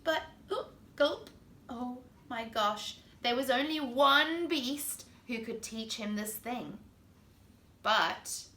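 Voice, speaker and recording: A woman's voice: on the high side, bright, forceful tone. A woman from South Africa with a South African accent reads a picture book aloud to children, expressively and addressing them directly. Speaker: children's story reader. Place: living room indoors. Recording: echoey room with background noise.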